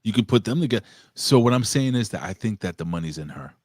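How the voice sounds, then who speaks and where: A man with a New York accent hosting a casual wrestling review chat, excitable and swearing freely. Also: on the low side, quick pace, no echo, studio quality. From Puerto Rico. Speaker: show host.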